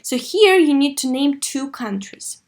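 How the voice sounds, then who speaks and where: Female English teacher for a kids' video lesson, slightly high pitch, instructive and expressive. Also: unhurried. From Russia. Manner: didactic, expressive model reading with clear questions. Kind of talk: video lesson for third graders.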